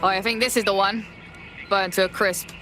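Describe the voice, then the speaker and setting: A woman's voice: medium pitch, steady tone, fairly quick, music in the background, natural voice. A woman playing a streetwise young hacker with a London accent in a video game, slangy and punchy. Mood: indignant, streetwise. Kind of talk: game cutscene voice acting.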